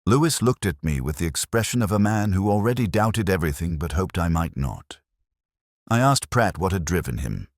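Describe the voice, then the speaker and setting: A man narrating as a brooding, reflective English detective, in measured, literary, melancholy first-person mystery storytelling. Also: low-pitched, sing-song, no room sound, clean studio sound, exaggerated voice. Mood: pensive.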